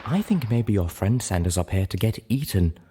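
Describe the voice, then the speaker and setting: Man putting on a haughty, animated lady pony. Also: on the low side, normal speed, slight background noise, close to an everyday voice. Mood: dry, uneasy.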